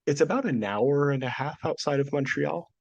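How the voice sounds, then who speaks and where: Husky-voiced sick man hosting a podcast. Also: on the high side, moderate pace, highs cut off. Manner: jokey, laughs often. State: nearly lost voice, sick. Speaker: podcast host. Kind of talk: casual history podcast chat. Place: home studio, remote.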